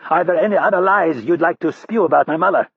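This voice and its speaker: A man voicing a menacing militia leader who taunts his captive with slow threats, in an audiobook. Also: medium pitch, very animated, husky, dry recording, narrow, tinny audio, full character voice. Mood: gloating. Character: menacing Palestinian militia leader, cruel and mocking.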